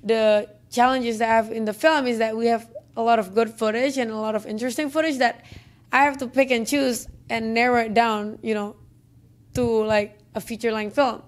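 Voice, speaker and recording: Chatty Indonesian woman, higher voice. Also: fairly even intonation, moderate pace. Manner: chatty, many fillers and restarts. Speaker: film director. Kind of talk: interview answers. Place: office conference room, indoors. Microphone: handheld mic.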